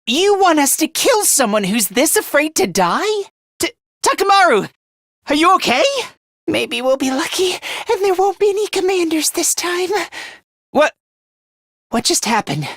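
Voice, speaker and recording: A man's voice: very high voice, big pitch swings, normal speed, long pauses, fairly bright tone, dry recording, studio quality, exaggerated voice. A man voicing a timid, self-loathing young male student fighter in an English video game, hesitant and stammering as he puts himself down. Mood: startled, worried.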